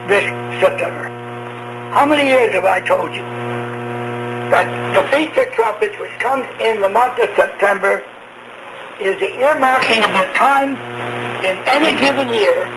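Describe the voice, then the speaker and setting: A man preaching a radio sermon in slow, halting phrases, posing rhetorical questions. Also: sits high. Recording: noisy humming shortwave radio broadcast.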